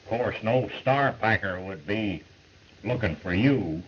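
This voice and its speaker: A man's voice: on the low side, expressive, measured pace, breathy edge, lo-fi sound. An actor playing a joking, folksy frontier man with a wry, watchful manner, on an old film soundtrack.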